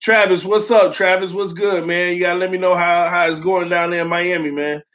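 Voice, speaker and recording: A man's voice: sits high, level tone, fairly quick, fairly bright tone. A young man hosting a casual sports fan livestream, still getting over an illness that left his voice sore, talking loosely with lots of "um" fillers and calling his viewers "man" and "y'all.". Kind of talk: casual livestream chat, reading viewer comments. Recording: dull lo-fi stream audio.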